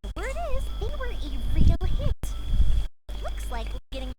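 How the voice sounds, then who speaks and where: A bubbly, eager young heroine from an anime-style game. Her voice is extremely high and swings widely in pitch, friendly and full of energy. Loud ambience sits behind her. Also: sing-song, normal speed, very noisy. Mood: cheerful. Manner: perky, eager, sociable. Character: bubbly, helpful young fireworks-maker girl, friendly and energetic.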